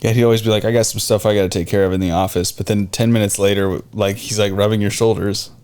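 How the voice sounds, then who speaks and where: A man's voice: fairly low pitch, level tone, fast talker, a little mellow, smooth. A man with an American accent in casual, informal podcast banter as a co-host. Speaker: podcast co-host, IT and cybersecurity worker.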